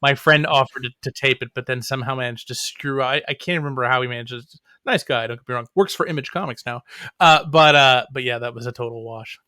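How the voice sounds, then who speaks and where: A man's voice: medium pitch, quick pace, bright, forceful tone, smooth, dry recording, studio quality. A man chatting casually as an interview guest, wry and joking, often breaking off and restarting his sentences. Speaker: guest writer. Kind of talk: casual chat.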